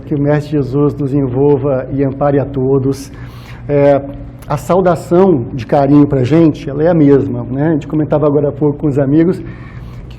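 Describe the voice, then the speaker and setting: A man with a Minas Gerais accent from Brazil delivers an informal religious lecture. His voice sits high for a man yet has a very mellow, muted tone. He talks colloquially, often tagging phrases with small questions, over noticeable background noise. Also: dull audio. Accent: Brazilian Portuguese, Minas Gerais. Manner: conversational, colloquial, with frequent tag questions. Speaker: speaker at a spiritist society.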